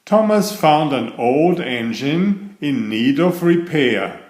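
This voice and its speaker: A man reading a children's bedtime story aloud in a deliberate, expressive storytelling manner. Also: fairly high pitch. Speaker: storybook reader. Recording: roomy, echoey sound.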